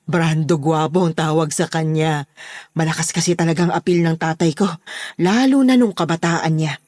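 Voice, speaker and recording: A woman's voice: sits low, normal speed, darkish tone, dry recording, clean studio sound. A woman with a Filipino accent playing a protective, bossy daughter running a household in a horror audio drama. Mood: matter-of-fact.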